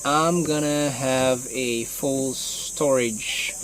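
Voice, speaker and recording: A man's voice: medium pitch, steady tone, very slow, a bit bright, slight background noise, low-quality recording. A young man from the Philippines with a Filipino accent, speaking English as his second language in a casual, hesitant vlog on his phone, full of fillers and restarts. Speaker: vlogger.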